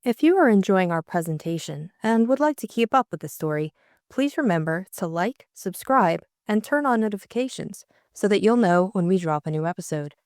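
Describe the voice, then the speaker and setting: A woman's voice: mid-range voice, lively intonation, moderate pace, darkish tone, no echo, studio quality. A woman reading scripted narration as an audiobook narrator and host.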